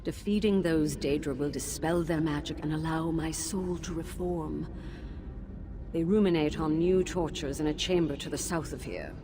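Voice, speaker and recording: An actress playing a formal, measured elf woman, a tormented mage teacher's soul in a fantasy game. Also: sits low, background noise. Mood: grave, weary. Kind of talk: scripted fantasy game dialogue. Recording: background music under the voice.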